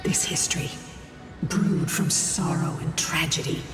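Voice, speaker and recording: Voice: medium pitch, unhurried, far from the mic. A narrator speaking in a solemn, prophetic way. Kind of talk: solemn scripted game narration. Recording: echoey reverb with game music.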